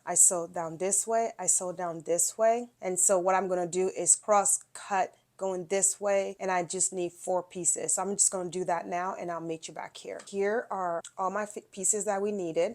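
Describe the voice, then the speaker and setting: A woman's voice: lowish voice, a little mellow. A middle-aged woman hosting a casual quilting tutorial, a bit nasal from a cold, talking viewers through each step in a chatty way. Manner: chatty, explains by showing, talks straight to viewers. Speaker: quilting video host.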